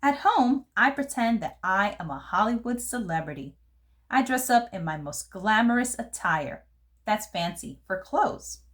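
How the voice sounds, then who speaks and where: A woman voicing a fancy, dramatic little girl who loves glamour, telling her story from a children's book in an expressive, theatrical way. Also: mid-range voice. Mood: proud, delighted. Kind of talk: first-person storybook narration for children.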